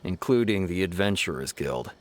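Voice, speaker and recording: Low-voiced stern guard officer. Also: male voice, animated, moderate pace. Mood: exasperated. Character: male city guard officer, stern, frustrated, matter-of-fact. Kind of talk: video game voice acting.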